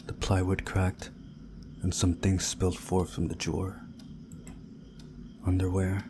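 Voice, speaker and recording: A man reading a visual novel story aloud in a measured, dramatic storytelling way, hushed at times. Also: low-pitched, completely flat delivery, very noisy. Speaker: video game playthrough narrator.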